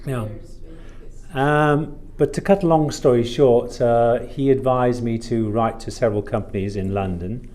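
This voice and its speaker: A man's voice: medium pitch, steady tone, unhurried, darkish tone. A man in his sixties from Wales, telling stories conversationally as a podcast guest, with courteous, old-fashioned phrasing. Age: 60s. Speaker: podcast guest, shipping consultant. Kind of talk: conversational storytelling.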